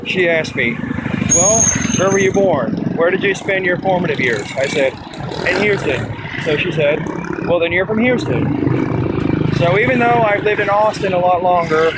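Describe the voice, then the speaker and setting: Man from Texas, a bit high-pitched, walking vlog, heavy street noise behind. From Texas, USA. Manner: chatty, rambling, thinking aloud while walking. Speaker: vlogger; teacher. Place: outdoors on a city street. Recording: loud traffic noise behind. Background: loud street traffic and motorbikes.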